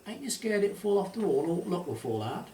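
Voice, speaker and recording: Man putting on a curious young boy, higher pitched. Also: big pitch swings, brisk, mellow, slight background noise. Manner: earnest, questioning. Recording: roomy, echoey, distant home recording.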